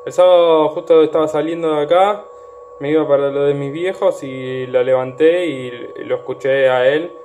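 A man's voice: a little high, fairly even intonation, moderate pace, darkish tone. A man with a Buenos Aires Argentine accent, an amateur radio operator talking casually and using phonetic call signs. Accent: Argentine, Buenos Aires area. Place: indoors at a desk radio set. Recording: dull sound with clear background noise.

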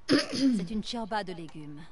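An actress playing a young mother in a French game dub, soft-spoken and teasing. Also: mid-range voice, expressive, slow pace. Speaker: game character.

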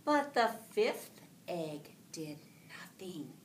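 A middle-aged woman, a teacher reading a picture book aloud to children in an expressive, deliberate storytelling way, with drawn-out words. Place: school classroom, indoors. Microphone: camera a few feet away. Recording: echoey room, distant mic, faint rumbling noise.

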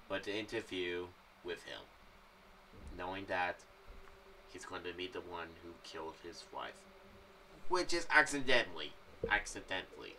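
Young guy rambling theories to camera, lowish bright voice, kinda halting, bit far off the mic. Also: slight background noise. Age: young adult. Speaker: fan theory vlogger. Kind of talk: casual rambling commentary to camera. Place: small home room. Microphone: boom-arm condenser mic with pop filter.

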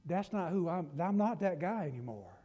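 A middle-aged man with a Southern US accent, preaching a folksy sermon from a church pulpit, swinging between loud and hushed. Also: high voice, sing-song, moderate pace, slightly rough. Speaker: pastor. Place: church sanctuary. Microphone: pulpit mic from a far camera. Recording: long church-hall reverb.

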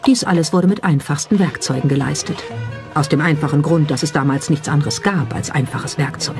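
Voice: mid-range voice, brisk. A narrator reading a scripted documentary in a pointed, skeptical, rhetorical manner. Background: background music.

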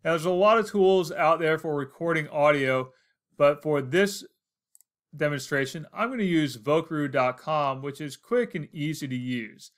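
A man's voice: fairly high pitch, moderate pace. An American man in his forties narrating a casual instructional screencast, explaining things step by step. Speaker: tutorial host.

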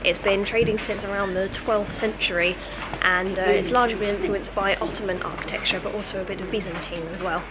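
A woman's voice: mid-range voice, fairly even intonation, brisk, background noise. A British woman in her twenties talking casually as a travel vlogger. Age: 20s. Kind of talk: casual travel vlog narration. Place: van cab, wooden cafe, cobbled bazaar street. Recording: music over voice. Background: music.

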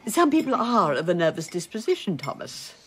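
Imperious older English aunt, lively delivery. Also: female voice, on the low side, brisk, a little hiss or hum. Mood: imperious, wry. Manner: commanding, sardonic. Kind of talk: British period radio drama acting.

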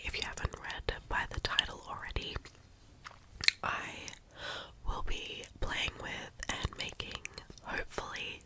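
A young woman whispering softly for ASMR in her bedroom, sounding congested and a bit croaky from a cold, with frequent ums. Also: rough recording. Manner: soft whispering, slow and unhurried with frequent ums. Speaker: ASMR creator. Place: small bedroom indoors. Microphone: close studio mic with foam cover.

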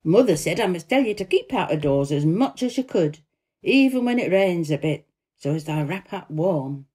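A woman voicing a cheerful, warm-hearted young Yorkshire housemaid in a storybook, chatty and blunt in dialect. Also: fairly low pitch, animated, normal speed, breathy edge. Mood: motherly, brisk.

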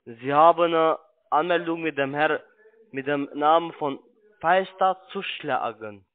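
On a thin, tinny phone connection, a man with a fairly high voice presses on with a sales call. He is a non-native speaker, halting and pushy, with broken grammar. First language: not German. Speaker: cold-calling telemarketer. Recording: narrow tinny phone line.

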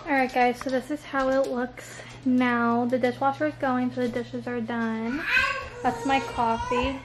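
A woman's voice: high voice, flat delivery, unhurried, over loud music. A young woman filming a casual cleaning vlog in her family home, chatting to the camera and to her small kids. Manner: chatty, talks to her toddler and baby. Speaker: vlogger. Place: family house, kitchen and living room. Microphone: handheld camera, often held close.

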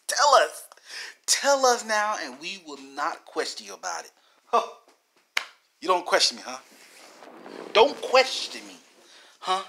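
A man's voice: high-pitched, pushed and bright. A man giving rambling video game commentary in a theatrical manner, repeating phrases and talking straight at the viewers.

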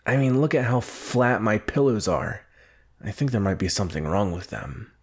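A man playing a brash, gruff young anime hero who hides his fears, in a halting, defensive, intimate ASMR roleplay. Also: lowish voice, expressive. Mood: gruff, bossy. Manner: halting, defensive, huffy, with sighs.

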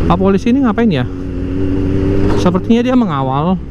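A man's voice: high voice, wildly swooping pitch, a little slow, vocal fry. A young man chatting casually and rambling while riding a motorcycle, recorded through a helmet mic. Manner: chatty, slangy, rambling commentary. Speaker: motovlogger. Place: riding a motorcycle on city streets. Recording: dull audio, loud traffic and wind noise. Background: traffic and motorcycle engine.

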